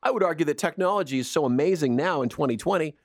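A man's voice: fairly high pitch, expressive, fast talker, dry recording, clean studio sound. A man from Canada co-hosting a radio show, telling stories in playful casual banter. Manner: playful, storytelling. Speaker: radio co-host.

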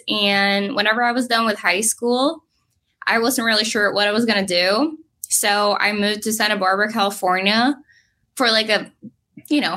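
Bright-voiced Norwegian woman racer. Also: medium pitch. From Norway. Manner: lively, fillers of "like", laughs easily. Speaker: drag racing driver guest. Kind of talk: animated storytelling interview.

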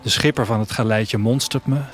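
A man's voice: fairly low pitch, fairly quick, natural voice. Wistful male actor as a traveller, music behind. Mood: wistful, reflective. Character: wistful, restless traveller leaving his future city. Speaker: narrator. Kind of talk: literary first-person audio drama narration.

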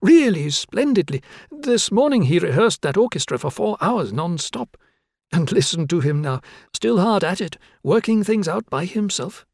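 A man's voice: a little high, lively intonation, moderate pace, gravelly, slightly rough, no echo, studio quality, pitched above the performer's own voice, heavily acted. A man voicing a fussy, eager young male hotel desk clerk who talks in a flustered, apologetic way. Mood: enthusiastic.

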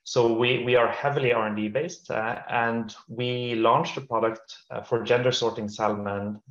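Norwegian-raised guy on a video call, low gravelly voice, roomy muffled sound, kinda halting. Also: steady tone, fairly bright tone. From northern Norway. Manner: measured, with frequent repeats and fillers. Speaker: startup CEO guest. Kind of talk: interview answers.

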